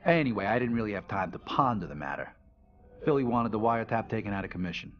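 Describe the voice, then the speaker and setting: A man's voice: on the low side, very animated, fast, lo-fi sound. An actor playing a world-weary, wisecracking American mob enforcer, telling his story in a casual, tough, profane hardboiled narration. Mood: wry, matter-of-fact.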